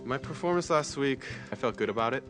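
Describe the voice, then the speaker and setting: A man's voice: medium pitch, animated, quick pace, fairly bright tone, faint music behind. A young man from Chicago and Korea, a native Korean speaker, talking about himself as a singing contestant in a TV profile interview. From born in Chicago, partly raised in Korea. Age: young adult.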